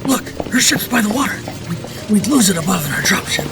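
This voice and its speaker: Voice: mid-range voice, lively intonation, a little fast, over music, close to an everyday voice. An actor playing an impatient, bossy male schemer who snaps with urgency and exasperation in an audio drama. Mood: urgent, irritated.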